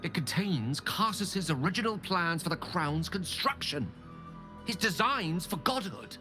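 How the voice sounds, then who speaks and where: A man acting as an eloquent, ambitious wizard in a video game, speaking in theatrical, erudite phrases with dramatic pauses. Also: sits high, expressive, sharp tone, faint music behind, full character voice. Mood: awed. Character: ambitious, eloquent male wizard hungry for godlike power. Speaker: game character, wizard companion.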